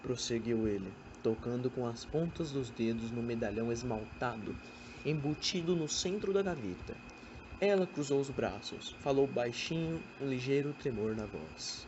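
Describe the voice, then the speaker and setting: A man's voice: medium pitch, animated, moderate pace, dull audio. A young man with a Brazilian accent reading scripted literary narration for an amateur radio drama. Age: young adult. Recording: amateur recording with background noise.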